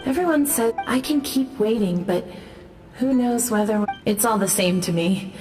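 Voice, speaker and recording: An actress playing a gentle, resigned, wistful woman in a video game. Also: a little high, moderate pace, over music, close to an everyday voice. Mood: resigned, polite.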